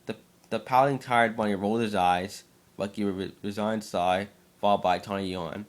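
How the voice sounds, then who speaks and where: Male amateur narrator, slightly low voice, occasional stumbles over words. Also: fairly even intonation, moderate pace. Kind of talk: dramatic story reading by an amateur.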